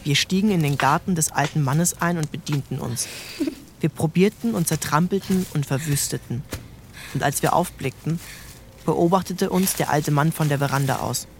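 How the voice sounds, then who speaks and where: A man's voice: fairly high pitch, moderate pace, slight background noise. A man giving scripted literary narration as the narrator of an audio drama. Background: faint music.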